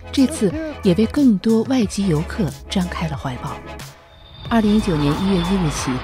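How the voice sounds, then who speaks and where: A woman narrating a scripted promotional news feature in a polished, measured announcer style. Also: fairly low pitch. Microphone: studio voice-over. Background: music.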